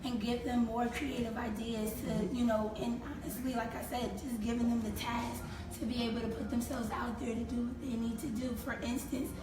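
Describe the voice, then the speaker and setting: Slightly hoarse woman, animated guest. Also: fairly high pitch, moderate pace, few pauses, music in the background. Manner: animated, acts out quoted speech. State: slightly hoarse, losing her voice. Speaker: guest, creative consultant. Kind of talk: casual interview talk. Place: talk show set on stage, seated in armchairs. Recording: echoey room from far off, noisy.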